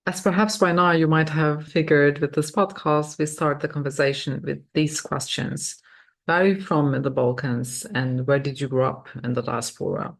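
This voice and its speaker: Gravelly-voiced woman podcast host. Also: low-pitched, steady tone, normal speed. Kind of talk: scripted intro then conversational hosting.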